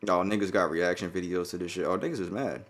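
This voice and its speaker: Man, young adult, from Jamaica raised in New York, podcast co-host, quick talker, profane casual chat. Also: sits low, fairly even intonation, fairly bright tone. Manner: loose and profane, riffing on a viral song.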